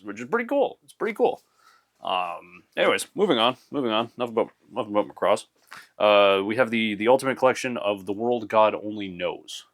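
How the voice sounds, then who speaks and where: Man rambling about his anime collection. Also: on the low side. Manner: rambling, many fillers and restarts. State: losing his voice. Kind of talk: casual rambling show-and-tell.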